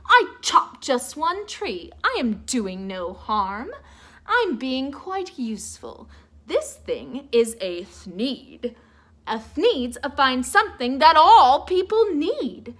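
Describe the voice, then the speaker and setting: A woman's voice: high voice, big pitch swings, slow, fairly bright tone, heavily acted. A woman voicing a breezy, self-assured inventor in a children's storybook, giving dismissive, boastful sales patter.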